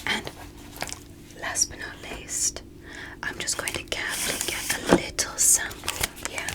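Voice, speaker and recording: Casting: woman as courteous alien medical examiner for ASMR roleplay, breathy whisper throughout, careful and reassuring. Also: lightly acted, rough recording. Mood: gentle, polite. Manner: slow, careful, softly reassuring. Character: polite, gentle alien doctor examining a human.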